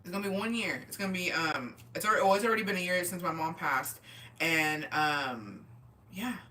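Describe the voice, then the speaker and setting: Bright-voiced young woman, tipsy and slangy. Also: fairly low pitch, fairly quick. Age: 20s. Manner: slangy, swearing, with Spanish words mixed in. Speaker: livestream host chatting with viewers. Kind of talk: casual livestream chat, reading and answering comments. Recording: voice distant from the microphone.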